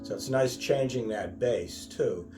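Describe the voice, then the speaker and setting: A man giving an informal guitar lesson, thinking aloud in a musing, halting way and often checking in with a questioning tag. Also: mid-range voice. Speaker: guitar teacher. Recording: roomy, distant mic, loud guitar. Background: acoustic or electric guitar playing.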